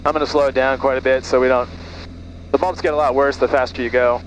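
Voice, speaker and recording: A man's voice: mid-range voice, flat delivery, quick pace, dull audio, slight background noise. A middle-aged man, a private pilot, talking casually and making radio calls through an aviation headset, sometimes leaving sentences unfinished. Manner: trails off mid-sentence. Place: small propeller plane cockpit in flight. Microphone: aviation headset boom mic. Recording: engine drone in small plane cockpit.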